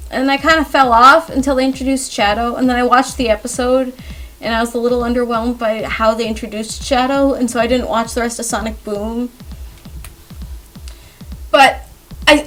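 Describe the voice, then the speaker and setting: A young woman with a high-pitched voice talks in a loose, chatty ramble. She is animated and self-deprecating, prone to giggling, like someone telling personal stories in a voice-over. Light music plays faintly behind her. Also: low-quality recording. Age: young adult. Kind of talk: casual rambling chat over speedpaint. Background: background music.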